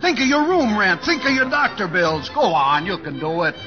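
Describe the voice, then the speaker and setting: An actor playing an excitable, insistent show-business booking agent in an old-time radio drama. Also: male voice, high voice, normal speed, music in the background. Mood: urgent, pushy. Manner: urgent, pushy, insistent. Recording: dull, echoey old radio recording.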